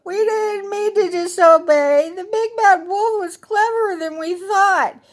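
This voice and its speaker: A woman's voice: extremely high pitch, measured pace, pitched above the performer's own voice. A woman voicing young storybook goat kids in a childlike, earnest and emphatic way. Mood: tearful pleading.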